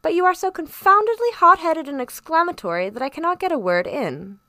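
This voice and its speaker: A woman voicing a composed, genial gentleman doctor in a storybook, courteous, stately and shrewd. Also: very high-pitched, lively intonation, fairly quick, dry recording, studio quality, pitched above the performer's own voice, full character voice. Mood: brisk, reasoning.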